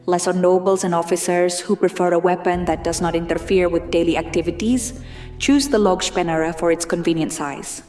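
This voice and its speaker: A woman narrator giving a measured, formal scripted reading of fantasy lore, in documentary style. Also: fairly low pitch, fairly even intonation, a little mellow, roomy sound, faint music behind.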